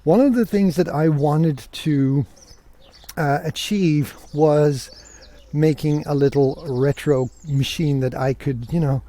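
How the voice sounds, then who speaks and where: Mellow, higher-pitched man telling casual stories. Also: slow pace. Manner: conversational, acts out remembered dialogue. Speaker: podcast host. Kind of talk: casual solo podcast storytelling.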